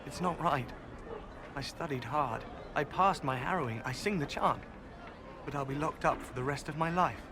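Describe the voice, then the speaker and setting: A man's voice: fairly high pitch, moderate pace, natural voice. A man playing an earnest, aggrieved young elven rebel mage in a fantasy game. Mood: troubled, aggrieved. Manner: earnest, indignant. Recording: noisy ambience behind the voice.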